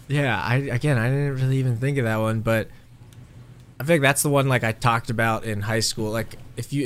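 A congested man chatting casually as a podcast co-host, telling stories with animated energy. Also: medium pitch, clean tone. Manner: chatty, animated storytelling with laughs. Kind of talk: casual chat over a call. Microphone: remote call mic.

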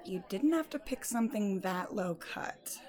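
A woman's voice: mid-range voice, lively intonation, unhurried, darkish tone, close to an everyday voice. Woman acting a dry, teasing teenage girl. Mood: skeptical, teasing. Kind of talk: naturalistic modern audio drama acting.

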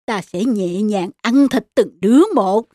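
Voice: mid-range voice, a little slow, mellow, no echo, clean studio sound, natural voice. An actor voicing a sly, scheming wildcat who gloats and talks pompously as a false forest god. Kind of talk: children's animated fairy tale villain. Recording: music behind the voice.

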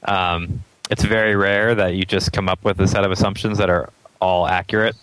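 A man's voice: sits low, flat delivery, normal speed, a bit bright, smooth, low-quality recording. A man from Atlanta, a startup founder as an interview guest, thinking aloud in casual chat with many ums and frequent chuckles.

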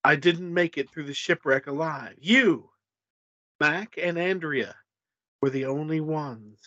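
A man's voice: a little high, takes it slowly, sharp tone, no room sound, heavily acted. Guy doing a dead classmate's ghost, serious n urgent, storybook horror, dry lo-fi sound. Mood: grave. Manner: grave, warning.

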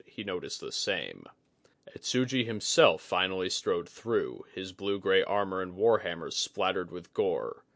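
A man's voice: low-pitched, a bit bright, no room sound, studio quality. A man narrating a fantasy story as a dramatic, scripted audiobook reading.

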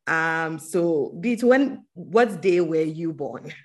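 A woman co-hosting a podcast, opening the show and leading the questions in a measured way. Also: sits low, animated. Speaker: podcast co-host.

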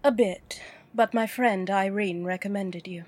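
An actress playing a wealthy businesswoman client in an audio drama, cool and measured. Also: medium pitch, darkish tone, lightly acted. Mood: composed. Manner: cool, measured, dryly composed.

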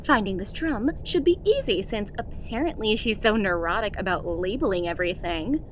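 A woman's voice: medium pitch, expressive, moderate pace, noisy background, close to an everyday voice. A woman playing a scheming young student in an audio drama, sugary and coaxing one moment and snide the next. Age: young adult. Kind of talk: acted audio drama dialogue.

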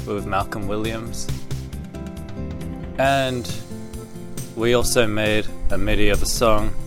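Male podcaster, lower register, casual comedic talk with music clearly underneath. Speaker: podcast co-host. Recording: music playing over the talk.

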